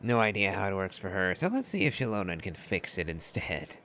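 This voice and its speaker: A man doing casual playthrough commentary and reading along, in a musing, unhurried way. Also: low-pitched, big pitch swings, a bit bright, slight background noise.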